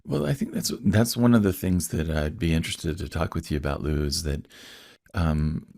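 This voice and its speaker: Deep gravelly dude hosting a podcast, friendly and eager, dry close sound. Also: male voice, normal speed, darkish tone, no echo. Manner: friendly, enthusiastic, laughs often. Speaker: podcast host. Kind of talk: casual interview.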